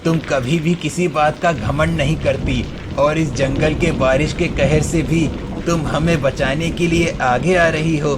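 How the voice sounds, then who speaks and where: A man's voice: fairly high pitch, steady tone, unhurried, very noisy, close to an everyday voice. A man voicing a grown male crow who is blunt and gloomy in a cartoon story. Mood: grateful. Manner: blunt, familiar.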